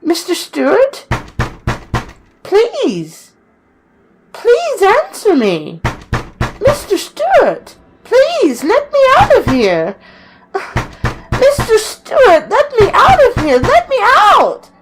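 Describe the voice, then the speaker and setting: A woman's voice: very high voice, wildly swooping pitch, takes it slowly, long pauses, exaggerated voice. A woman playing a timid, polite young newcomer in a radio suspense drama, meek, hesitant and deferential. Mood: panicked, pleading. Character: timid, polite, apologetic young woman starting a new job. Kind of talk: old-time radio suspense drama acting.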